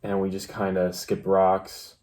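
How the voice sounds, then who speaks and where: A man's voice: deep voice, monotone, measured pace, a little mellow. A young adult man co-hosting a vlog, telling a story casually in a laid-back, plain-spoken way. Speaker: vlog co-host.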